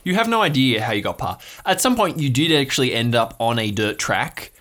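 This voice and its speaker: A man narrating an improvised audio drama as game master, descriptive and halting as he makes it up. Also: mid-range voice, lively intonation, fairly bright tone.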